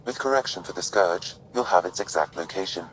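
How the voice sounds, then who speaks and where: A man playing a calm artificial intelligence in a video game, measured, precise and formal. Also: deep voice, slight background noise, poor audio. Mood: calm, analytical. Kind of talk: video game cutscene acting.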